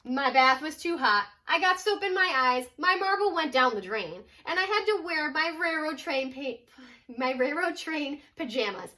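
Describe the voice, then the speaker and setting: A woman's voice: high voice, lively intonation, normal speed, bright, forceful tone. A woman reading a children's picture book aloud as a teacher would, expressively and with dramatic emphasis on key words.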